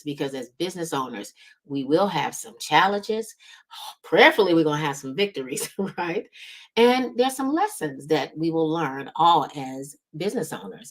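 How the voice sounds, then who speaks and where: A woman hosting a motivational business talk as a strategist, conversational and emphatic, often checking in with short tag questions. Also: low voice. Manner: conversational, emphatic repetition, frequent check-in tags like right and okay. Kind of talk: motivational business coaching talk to online audience.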